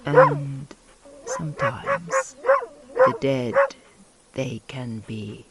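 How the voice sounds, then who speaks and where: A woman acting in a gothic radio drama as an ingratiating, ominous mourning peddler with halting, old-world phrasing. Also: a bass voice, wildly swooping pitch, heavy background noise, full character voice. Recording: loud barking dog behind the voice.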